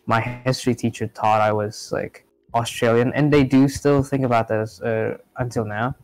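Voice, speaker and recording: A young man talks casually on a podcast, rambling through fillers and restarts. His mid-pitched voice has a gravelly edge, recorded dry and close with faint music underneath. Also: steady tone, normal speed, a little mellow, no room sound, low-quality recording. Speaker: podcast co-host. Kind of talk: casual chat.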